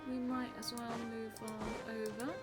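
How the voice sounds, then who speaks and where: Female game streamer, slightly high voice, offhand reactive commentary, loud music underneath. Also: little pitch movement, measured pace. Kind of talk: casual live game commentary.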